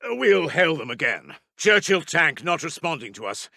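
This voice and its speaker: A man's voice: on the high side, very animated, moderate pace, vocal fry, sharp tone, dry recording, studio quality, heavily acted. A man playing an urgent British Second World War intelligence officer for a video game, giving clipped, emphatic military reports. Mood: grave, dismayed. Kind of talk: video game voice lines, wartime battle reports.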